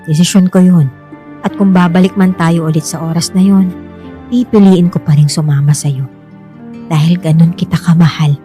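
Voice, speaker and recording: An actress playing a devoted, hard-pressed wife and mother who is quick to bristle, with expressive, emphatic delivery in a radio drama. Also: fairly low pitch, natural voice. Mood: tender, resolute. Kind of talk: Filipino radio drama acting. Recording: music bed under the voice.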